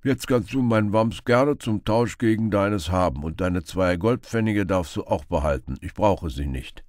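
A man's voice: low-pitched, animated, a little slow, a little mellow, dry recording, clean studio sound. A man acting out a crafty, boastful beggar, raised voice. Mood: grudging, crafty. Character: smug male beggar, boastful, haggling, later merry.

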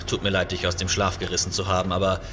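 An actor playing a calm, decisive starship captain in a sci-fi audio drama, commanding and matter-of-fact. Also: male voice, low-pitched, quick pace, lightly acted. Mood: focused concern.

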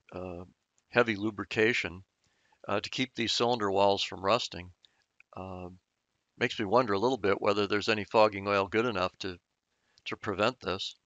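A man's voice: on the low side, fairly bright tone, no echo, muffled recording. A man explaining engine diagrams in an unscripted voice-over, thoughtful and hesitant with many fillers and restarts. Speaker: channel host explaining engine repair.

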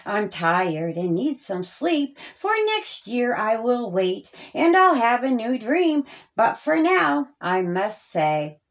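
An eager little boy from a children's storybook, played by a woman. The voice is high and takes its time, speaking in earnest, simple rhymes. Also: very animated, slow, few pauses, heavily acted. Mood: sleepy, content. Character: excited little boy who loves Easter, storybook narrator.

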